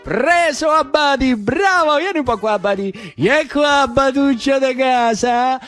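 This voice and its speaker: A cocky young bully, acted by a man speaking Roman-accented Italian at a high, pushed pitch. He eggs on and orders about with excited, repetitive urging, in a lively radio monologue style. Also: a little slow, soft background music, heavily acted. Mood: excited, goading. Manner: shouty, goading, repetitive. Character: bossy playground bully boy egging on a smaller child. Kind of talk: one-man radio theatre.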